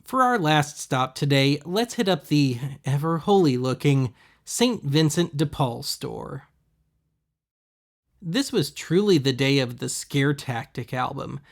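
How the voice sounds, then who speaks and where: A man's voice: fairly high pitch, expressive, slow, creaky. A man hosting a thrift-shopping video, giving casual voice-over commentary in a wry, sarcastic manner.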